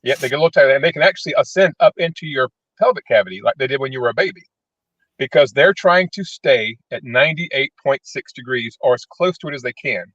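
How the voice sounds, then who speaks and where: A man's voice: mid-range voice, lively intonation, fast talker, fairly bright tone, no room sound. Male panelist, dull dry call audio. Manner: plain-spoken, checks in with "right?". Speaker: panel guest. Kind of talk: casual explaining. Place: parked vehicle in a parking lot.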